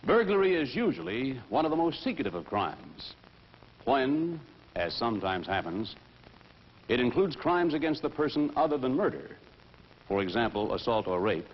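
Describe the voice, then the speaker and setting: An actor playing a formal, assured prosecuting attorney, delivering a deliberate courtroom speech in a 1960s training film. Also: male voice, medium pitch, sing-song, husky. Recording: dull 1960s film soundtrack, long reverb, hiss.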